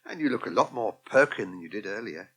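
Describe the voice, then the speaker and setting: Radio soap: courteous, solicitous older Englishman. Lively intonation, slightly rough voice. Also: lowish voice, fast, low-quality recording. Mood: genial, reassuring. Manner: polite, genteel, solicitous. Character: kindly, lonely older Englishman, courteous and encouraging. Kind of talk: British radio soap acting.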